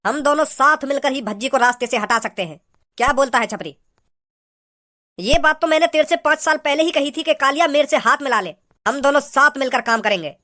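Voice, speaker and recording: A performer voicing a menacing, scheming male cartoon gangster, speaking in deliberate, boastful threats. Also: high-pitched, bright, forceful tone. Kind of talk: cartoon villain dialogue. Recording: music behind the dialogue.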